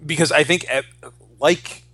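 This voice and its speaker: A man's voice: a little high, fairly even intonation, unhurried, bright, forceful tone. A man in his forties co-hosting a podcast, talking casually about geek culture with enthusiasm and plenty of swearing. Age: 40s. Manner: profane, enthusiastic. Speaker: podcast co-host.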